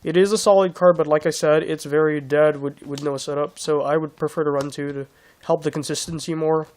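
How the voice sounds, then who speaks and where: A young man with a hoarse voice from being sick, casually walking through his card game deck with many restarts. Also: a little high, fairly even intonation, brisk, a little mellow. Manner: rambling, many restarts and fillers. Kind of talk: casual deck profile walkthrough.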